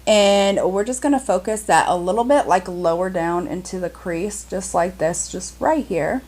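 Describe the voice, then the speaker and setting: A woman's voice: lowish voice, moderate pace, a little mellow. A young woman chatting casually through a makeup tutorial, upbeat and full of fillers, her voice a little cracky today. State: slightly cracky voice. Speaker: beauty vlogger.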